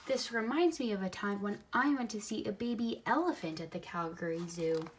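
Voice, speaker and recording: A woman reads a picture book aloud for young children, expressively, pausing to add simple comments of her own. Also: medium pitch, noisy background. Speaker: storybook reader.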